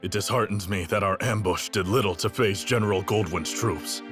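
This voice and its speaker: A man's voice: on the low side, moderate pace, music in the background, close to an everyday voice. A man acting a stern, seasoned and loyal army officer in a video game, formal and declamatory. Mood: grave. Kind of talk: dramatic game cutscene acting.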